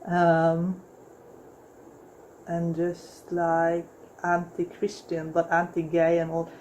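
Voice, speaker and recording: A woman's voice: lowish voice, monotone, slow, darkish tone, slight background noise, poor audio. Inquisitive woman co-host. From Norway. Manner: inquisitive, asks short questions. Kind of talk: casual chat.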